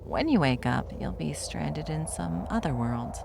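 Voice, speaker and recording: A woman playing a bored, smug, powerful villain in an ASMR roleplay, with a wry, taunting drawl and a creaky voice. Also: low-pitched, sing-song, a little slow, background noise, full character voice. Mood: mocking, dismissive.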